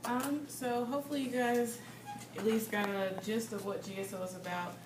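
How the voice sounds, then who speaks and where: Young woman chairing a meeting, calm and informative, far off in a roomy classroom. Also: a little high, moderate pace. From North Carolina. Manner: steady, informative, with filler ums. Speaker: student organization president. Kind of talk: meeting announcements. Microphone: far camera. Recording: echoey classroom, distant mic, background noise.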